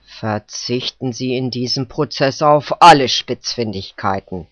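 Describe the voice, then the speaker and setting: A narrator voicing a smug male kangaroo judge who hands down loud, pompous rulings. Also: medium pitch, measured pace, no echo, voice pushed down, lightly acted. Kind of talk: storybook character voice. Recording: dull, dry recording.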